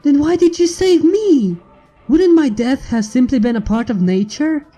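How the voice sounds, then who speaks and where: A man's voice: very high-pitched, lively intonation, measured pace, dark tone, pitched above the performer's own voice. A man voicing an emotional young female pony in a story reading, protesting and exclaiming indignantly. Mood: outraged.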